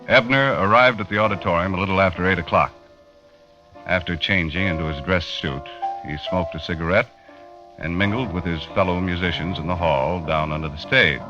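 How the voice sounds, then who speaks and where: A man's voice: sits low, a little fast, natural voice. An actor playing a matter-of-fact American magazine writer, narrating his investigation in an old radio drama. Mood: measured, factual. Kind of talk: 1940s-50s radio drama first-person narration. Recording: muffled vintage radio recording with music.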